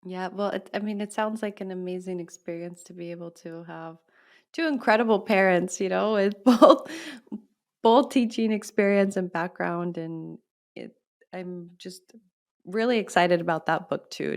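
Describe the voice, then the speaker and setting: A woman's voice: fairly low pitch, fairly even intonation, normal speed, gravelly. A woman hosting a podcast, asking interview questions in a friendly, encouraging way. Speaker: podcast host.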